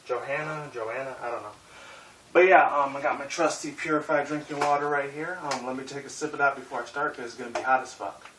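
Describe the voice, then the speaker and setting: A man's voice: medium pitch, fairly even intonation, fast, a bit bright. Rambling young man in a roomy room. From Puerto Rican and Mexican heritage. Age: 20s. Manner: rambling, profane, filler-heavy. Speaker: YouTuber. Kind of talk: casual vlog chat. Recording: roomy echo, mic at a distance, faint hiss.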